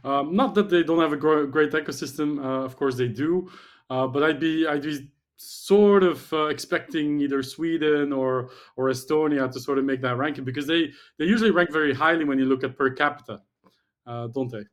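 Male interviewer with a slightly high voice. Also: normal speed. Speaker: host, interviewer. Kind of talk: conversational interviewing.

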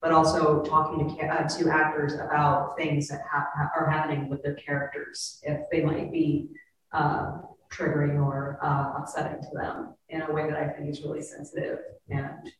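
A speaker on a panel praising the guests' care, in a measured way with frequent fillers. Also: mid-range voice, monotone. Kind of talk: panel discussion. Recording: echoey room, far from mic.

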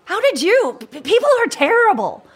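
Brash, world-weary barfly for audio drama: female, high voice, very animated, foul-mouthed. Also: moderate pace. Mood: weary, exasperated. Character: hot-tempered, hard-drinking, worn-down tentacled woman bar regular.

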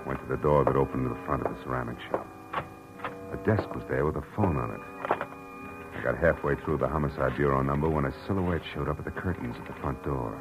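Very low-voiced, hard-boiled American male gumshoe, dry and terse, vintage radio drama over music. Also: quick pace, rough recording. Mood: tense narration. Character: hard-boiled, world-weary male private detective narrating his case. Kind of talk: 1940s radio detective acting and narration.

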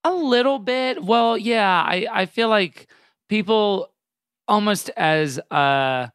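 A man's voice: sits high, takes it slowly, fairly bright tone, smooth, no echo, clean studio sound. A man co-hosting a podcast in casual discussion, wry and often qualifying his points as he goes. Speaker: podcast co-host.

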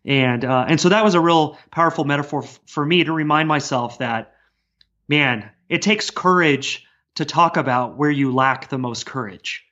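Man, fairly high voice, earnest, discursive, podcast guest, casual conversation, muffled lo-fi audio. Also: moderate pace, sharp tone. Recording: dull, lo-fi sound.

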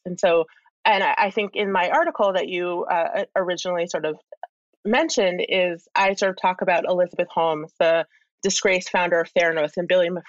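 A woman's voice: on the low side, no room sound, dull audio. A woman speaking as an interview guest, explaining her points conversationally and thoughtfully, with emphasis as she lists them. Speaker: interview guest, expert commentator.

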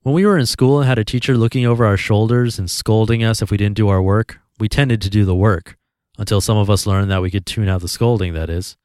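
A man hosting a podcast, calmly reading a blog post aloud in an even, easygoing way. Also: fairly low pitch, a little fast, no room sound, studio quality. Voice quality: calm, even voice.

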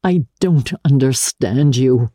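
A woman playing a bitter, husky-voiced jealous gentleman. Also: extremely low pitch, slow, no room sound, studio quality, full character voice. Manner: sneering, intense. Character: bitter, jealous, lovesick gentleman of old New York. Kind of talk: dramatic audiobook character reading.

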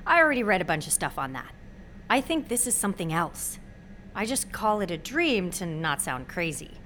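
A woman's voice: lowish voice, very animated, normal speed, fairly bright tone. Wry young woman played by an actress, background noise. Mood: earnest, guarded. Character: intense, wry young woman in her twenties, guarded. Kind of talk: naturalistic audio drama dialogue.

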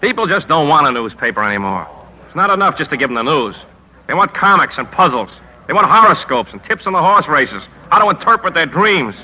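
An actor playing a middle-aged, hard-driving, cynical newspaper editor in a 1950s radio drama, with a punchy, world-weary delivery. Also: male voice, on the high side, sing-song, a little fast, sharp tone, slightly rough, highs cut off, heavily acted. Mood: bitter.

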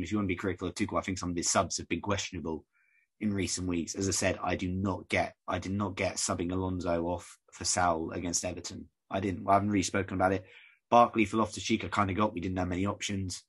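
Croaky fast-talking male podcast host. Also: low voice, little pitch movement. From United Kingdom. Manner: rambling, conversational, many fillers. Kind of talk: casual podcast chat.

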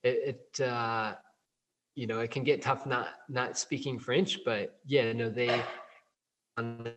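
A man who works as a plant medicine provider, answering interview questions in a relaxed way, full of ums and you-knows. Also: medium pitch, takes it slowly, muffled recording. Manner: relaxed, many fillers like um and you know. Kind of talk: interview answers over a call.

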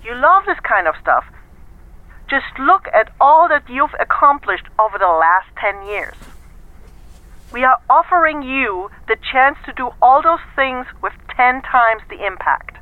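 An actress playing a brisk, persuasive corporate executive in an audio drama, firm and businesslike, heard through a telephone filter. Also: female voice, high-pitched, very animated, dry recording. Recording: dry, narrow-band phone line.

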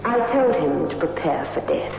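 A woman's voice: low voice, expressive, moderate pace, creaky. An actress playing a cold, vengeful, seductive madwoman with menacing, theatrical delivery in a 1960s radio horror drama. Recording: echoey, noisy, dull old radio recording.

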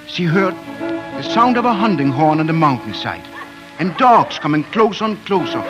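A man narrating a fairy tale in measured, dramatic 1940s radio storytelling style. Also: a little high. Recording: dull 1940s radio, music behind.

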